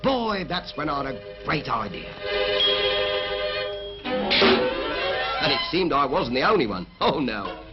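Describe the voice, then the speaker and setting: An actor playing a chatty working-class English townsman telling his story in a 1950s animated film. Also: fairly high pitch, slow, long pauses. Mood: cheerful. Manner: chatty, colloquial. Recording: dull 1950s film soundtrack, loud music.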